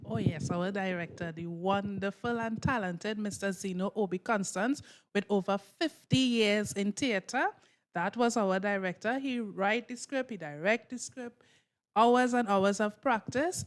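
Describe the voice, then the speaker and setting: A woman's voice: medium pitch, measured pace. Trinidadian woman in a casual interview. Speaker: stage performer being interviewed. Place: interview room off stage.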